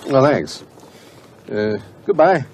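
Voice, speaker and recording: An actor playing a nervous, bookish middle-aged professor in an audio horror drama, hesitant, stammering and polite. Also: male voice, mid-range voice, sing-song, slow, a little hiss or hum. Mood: uneasy.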